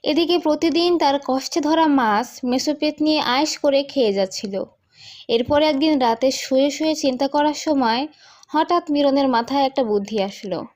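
A woman with a high voice narrates a folk tale for a cartoon. Her delivery is scripted storytelling. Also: normal speed, a little mellow.

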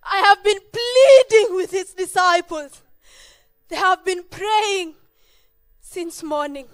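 A woman's voice: very high voice, slow pace. A woman acting in a church Easter play as a desperate mother who tearfully begs for help for her possessed child. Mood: begging. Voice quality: tearful, pleading, repeats herself. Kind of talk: amateur stage acting.

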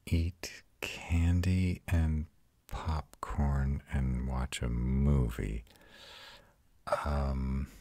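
A man performs a proud male vampire comforting his lover in an audio roleplay. His voice is extremely low and husky, delivered very slowly, with tender, intimate reassurance. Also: dark tone, no room sound, exaggerated voice. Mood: gently cautioning. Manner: slow, soothing, intimate.

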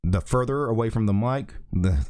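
A middle-aged man with a deep voice, explaining audio editing steps in a relaxed, casual tutorial from a small home office on a desk microphone. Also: moderate pace, no room sound, clean studio sound. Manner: relaxed, thinking aloud with small restarts. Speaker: tech tutorial host. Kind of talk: casual screen-recorded software tutorial. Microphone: desk USB microphone.

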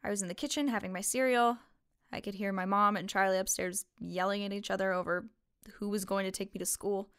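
Actress doing young woman early twenties, matter of fact and blunt, average pitch, podcast drama. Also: fairly bright tone, clear, resonant tone, lightly acted. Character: blunt, composed young woman of twenty-two, sister of missing girl. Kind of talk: conversational audio drama acting.

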